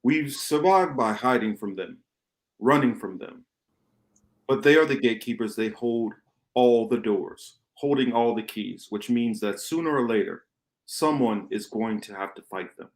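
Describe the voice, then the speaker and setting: A man acting a grave, commanding rebel mentor in an amateur radio play, with deliberate, emphatic phrasing and dramatic pauses. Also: medium pitch, lightly acted. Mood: solemn, resolute. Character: grave, commanding male rebel mentor lecturing his pupil. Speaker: podcast host reading a radio play.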